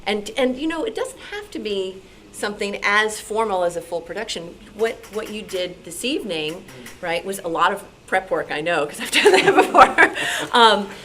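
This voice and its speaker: A woman's voice: mid-range voice, expressive, moderate pace. A woman speaking informally as a member in a club discussion. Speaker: club member, actor and former teacher. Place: indoor club meeting. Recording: noisy live meeting room.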